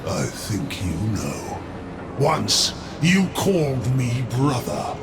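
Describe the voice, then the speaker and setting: An actor playing a vengeful, corrupted demonic brother in a game, gravelly, slow, theatrical and taunting, full of bitter menace. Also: male voice, mid-range voice, wildly swooping pitch, heavily acted. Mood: brooding, menacing. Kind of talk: dramatic video game acting. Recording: music behind the voice.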